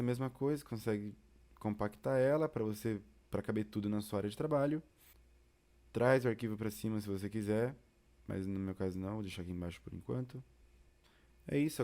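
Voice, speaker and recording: A Brazilian man teaches in an informal tutorial style. His voice sits fairly low and has a very mellow, soft-edged tone. He explains things casually, step by step, like an instructor beside the learner. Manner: casual, explanatory, uses diminutives.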